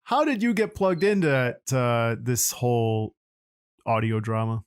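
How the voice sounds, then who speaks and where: A man's voice: mid-range voice, unhurried, smooth, no echo, clean studio sound. A man hosting a podcast in a casual, chatty way with joking banter. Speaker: podcast host.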